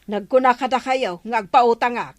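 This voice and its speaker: High-pitched haughty village storekeeper played by a woman. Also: normal speed, natural voice. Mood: haughty, curt. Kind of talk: Ilocano comedy radio drama.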